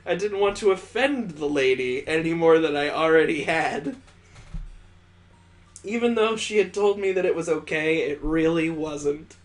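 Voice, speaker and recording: A man reads a visual novel aloud as a gaming host. His voice sits a little high. He narrates expressively and slips into casual commentary between lines. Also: unhurried, faint music behind.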